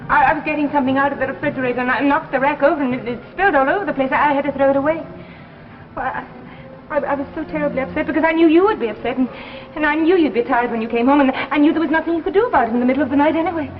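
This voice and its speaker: Woman acting high-voiced, anxious 1950s wife, muffled recording. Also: racing, close to an everyday voice. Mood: distraught, pleading. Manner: stammering, repeating first words. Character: anxious, apologetic wife of a scientist. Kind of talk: 1950s American television drama acting. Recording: muffled 1950s broadcast soundtrack.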